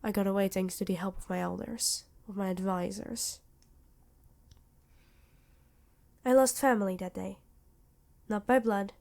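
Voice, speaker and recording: A woman voicing a worried, protective vampire queen in an ASMR roleplay, earnest and measured, with dramatic pauses. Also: medium pitch, vocal fry, darkish tone, natural voice. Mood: grave, grieving. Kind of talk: ASMR roleplay acting addressed to listener.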